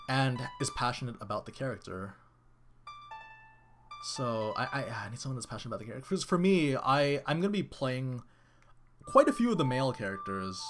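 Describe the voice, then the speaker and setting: A male streamer talks casually to his viewers while playing, his pitch leaping up and down in a lively, animated way. He rambles excitedly and keeps restarting his sentences. Faint game music plays underneath.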